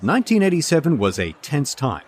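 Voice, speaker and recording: An actor playing a vain, self-assured storyteller in a comedic audio drama, pompous and theatrical. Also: male voice, a little high, wildly swooping pitch, fairly quick, slightly rough, heavily acted.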